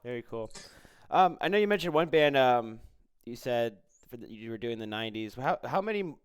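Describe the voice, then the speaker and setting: Male podcast host, medium pitch with a clear smooth tone, relaxed conversational interviewing. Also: a little fast. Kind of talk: casual interview chat.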